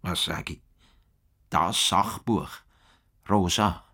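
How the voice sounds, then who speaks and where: An actor with a Swiss German accent playing an earnest, stubborn farmer in a radio play, dogged and grumbling. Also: male voice, low voice, takes it slowly, bright, forceful tone, slight background noise, full character voice. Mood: indignant muttering.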